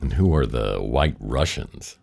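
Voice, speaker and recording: A man playing a world-weary, wisecracking private detective in a noir radio drama, with a wry deadpan delivery. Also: a bass voice, measured pace, gravelly, slightly rough, big-room sound, heavily acted. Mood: dry, businesslike. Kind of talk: noir radio drama, first-person narration and dialogue.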